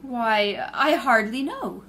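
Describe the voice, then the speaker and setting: An actress playing a poised young woman sleuth in a 1930s-style radio drama, polite and quick-witted, then indignant. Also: on the high side, lively intonation, fairly bright tone, exaggerated voice. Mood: charming.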